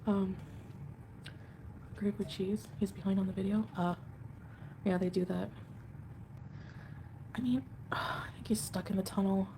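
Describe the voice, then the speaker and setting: A woman's voice: mid-range voice, level tone, unhurried, pauses often, background noise. A woman hosting a casual livestream at home, chatting with viewers and answering comments in a rambling, defensive way. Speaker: livestream host.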